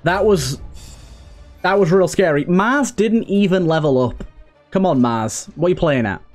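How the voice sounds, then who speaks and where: A man's voice: high-pitched, lively intonation, a little slow, soft background music. A man hosting a let's play, chatting casually and thinking aloud as he goes. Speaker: gaming video host.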